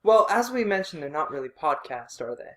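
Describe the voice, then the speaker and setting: A man co-hosts a casual podcast in an easygoing, conversational way. He is quick to poke fun at himself. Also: fairly high pitch, sing-song, fairly bright tone. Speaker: podcast co-host. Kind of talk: casual chat.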